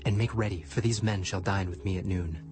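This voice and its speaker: An actor playing a dignified, commanding governor of ancient Egypt in a dramatized scripture reading, formal and measured. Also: male voice, low-pitched, steady tone, natural voice. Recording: music behind the voice.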